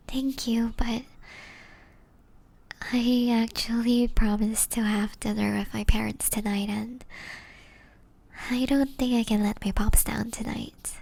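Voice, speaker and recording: A young woman playing a tender, remorseful ex-girlfriend in an ASMR roleplay, whispering softly and intimately with breathy hesitation. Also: on the high side, exaggerated voice. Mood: affectionate, reluctant.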